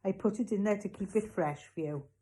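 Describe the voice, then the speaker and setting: A woman voicing an eccentric, eager male newsagent in a storybook, deliberate and full of salesman's enthusiasm. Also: medium pitch, mellow, voice pushed up, close to an everyday voice. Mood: cheerfully persuasive. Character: eccentric, eager male newsagent pushing odd special offers. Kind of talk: storybook character voice.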